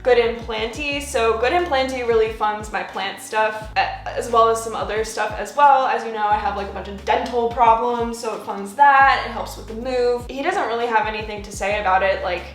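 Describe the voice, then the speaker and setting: A woman's voice: on the high side, normal speed, hardly any pauses, soft background music. Chatty young American woman, roomy room. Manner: chatty, laughs often, many filler "like"s. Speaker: plant channel host. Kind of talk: casual Q&A chat while repotting plants. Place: at home indoors, working on plants.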